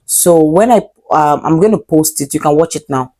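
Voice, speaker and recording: A woman's voice: deep voice, moderate pace, mellow. A woman in her twenties with a Nigerian accent, vlogging casually and rambling with fillers and self-corrections. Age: 20s. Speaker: vlogger and English teacher. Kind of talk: casual vlog talk to camera.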